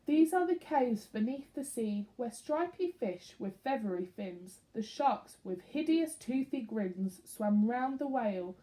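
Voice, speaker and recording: Animated, higher-pitched woman reading a children's story. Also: low-quality recording. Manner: animated, pausing to put questions to young listeners. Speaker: storyteller reading a picture book to young children. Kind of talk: expressive read-aloud of a rhyming children's story.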